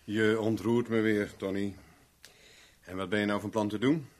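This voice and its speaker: A man's voice: lowish voice, steady tone, moderate pace, natural voice. An actor playing a wry, unflappable captive investigator in a 1970s Dutch radio drama, dry and ironic as he probes and needles. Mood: probing, dry.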